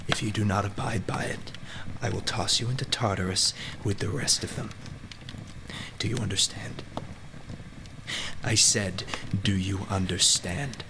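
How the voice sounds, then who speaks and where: A man's voice: fairly low pitch, a little slow, gravelly, background noise. A man acting a stern, guarded lord of the underworld in a radio play, with a curt, commanding manner. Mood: angry, threatening.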